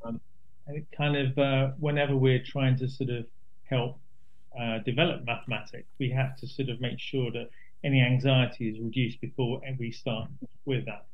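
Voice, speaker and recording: A man's voice: mid-range voice, flat delivery, normal speed. Man explaining, slightly muffled sound. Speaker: interview guest. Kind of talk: explanatory interview talk.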